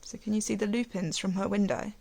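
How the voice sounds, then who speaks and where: Woman with creaky voice reading a storybook. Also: medium pitch, a little fast. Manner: expressive, gently questioning. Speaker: teacher reading to young pupils. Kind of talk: storybook read-aloud with gentle asides to children.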